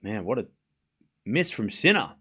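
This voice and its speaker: Animated, bright-voiced man calling live tennis. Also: on the low side, measured pace, breathy edge. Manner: excitable, calling shots in short clipped phrases. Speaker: livestream host and commentator. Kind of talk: live tennis watchalong commentary mixed with casual chat.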